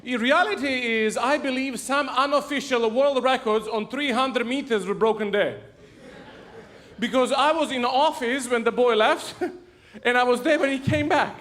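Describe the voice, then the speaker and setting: A man's voice: very high-pitched, normal speed, fairly bright tone. A man preaching in a church, telling funny stories and laughing at his own jokes. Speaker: pastor. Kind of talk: humorous sermon storytelling. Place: church sanctuary.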